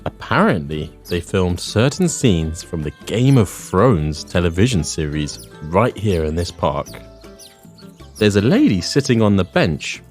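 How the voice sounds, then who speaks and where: Deep-voiced London man teaching Spanish. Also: big pitch swings, a little mellow, soft background music. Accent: London English. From England. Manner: friendly, clear, teaching pace with repeat-after-me pauses. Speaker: language course host. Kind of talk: presenting a Spanish lesson. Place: outdoors in a public garden.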